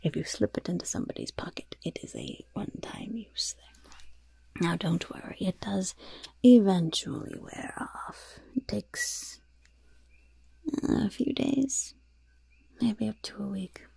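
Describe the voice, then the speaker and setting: A woman voicing a gleeful, mischievous goblin shopkeeper in a breathy, raspy whisper, slowly and slyly savoring every curse and poison in an ASMR roleplay. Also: medium pitch, expressive, warm tone, no room sound, full character voice. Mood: sly, confiding. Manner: slow, savoring, slyly polite.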